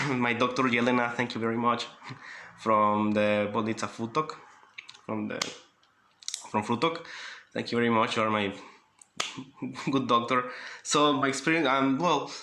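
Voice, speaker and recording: Man, Mexican accent, non-native English, halting, fillers, medium pitch. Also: steady tone, a little hiss or hum. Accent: Mexican Spanish. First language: Spanish. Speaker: vlogger. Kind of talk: casual storytelling vlog. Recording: roomy echo with loud background music.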